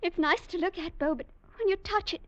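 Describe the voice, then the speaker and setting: A nervous, eager young cousin speaks in a girlish, extremely high voice. It is period radio acting, heard through a muffled 1940s recording. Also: a little fast, a bit bright. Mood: awed, nervous.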